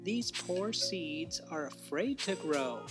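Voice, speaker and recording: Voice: mid-range voice, expressive, slow, music in the background, voice pushed up. A storybook narrator voicing a friendly frog gardener who sounds helpful, patient and encouraging. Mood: reassuring.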